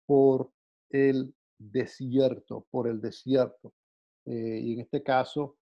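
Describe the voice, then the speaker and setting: Halting man teaching a Bible lesson. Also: medium pitch. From Dominican Republic. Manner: halting, many "eh" fillers and repeated words. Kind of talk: unscripted Bible class talk. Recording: dull lo-fi sound.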